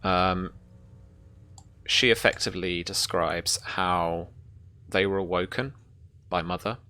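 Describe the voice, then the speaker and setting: A woman narrating a tabletop sci-fi horror game as game master, halting as if searching through notes. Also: a bass voice, bright, forceful tone.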